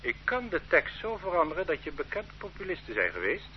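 An actor playing a stern state interrogator who bullies and threatens a suspect in a radio play, in a dull old recording with faint hum. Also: male voice, on the high side, wildly swooping pitch, a little fast. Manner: bullying, condescending. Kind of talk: radio drama acting.

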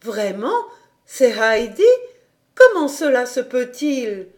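A woman's voice: on the high side, expressive, unhurried, darkish tone. A woman voicing an astonished, chatty village mother in a storybook reading, exclaiming and fussing.

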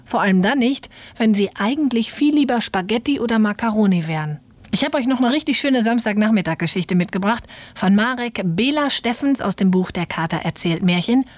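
A woman's voice: on the high side, expressive, brisk, no echo. A woman presenting on children's radio, giving a chatty scripted introduction addressed to young listeners.

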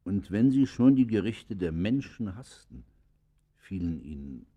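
An actor playing a stern, moralizing magistrate who questions a criminal in a grave, formal, admonishing manner for a radio play. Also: male voice, low-pitched, big pitch swings, a little slow, warm tone, breathy edge, full character voice. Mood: stern reproach. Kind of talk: German radio play acting.

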